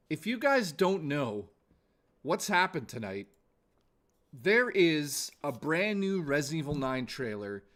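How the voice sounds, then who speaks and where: A man's voice: medium pitch, fairly bright tone. Casual middle-aged man chatting on a livestream. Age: 40s. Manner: informal, exclamatory, sweary. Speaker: gaming livestreamer. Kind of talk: casual livestream chat with viewers.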